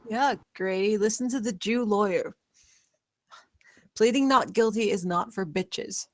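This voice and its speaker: A woman's voice: mid-range voice, a little slow, no echo, clean studio sound, lightly acted. A woman playing a plain-spoken, coaxing defense lawyer in a comedy table read, matter-of-fact. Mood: patient, coaxing.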